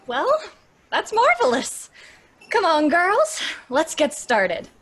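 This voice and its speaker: A woman's voice: very high voice, sing-song, slow, a bit bright, exaggerated voice. A woman playing a sensible, schoolteacherly leader of younger friends, explaining things properly in old-time radio drama style. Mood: cheerful.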